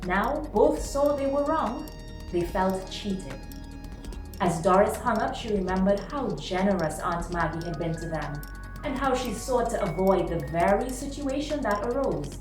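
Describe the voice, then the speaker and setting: A woman narrates a short story with expressive, dramatic delivery. Her voice sits at an average pitch and sounds roomy and a little away from the microphone. Music plays clearly behind her. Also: distant mic. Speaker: storyteller reading short stories aloud.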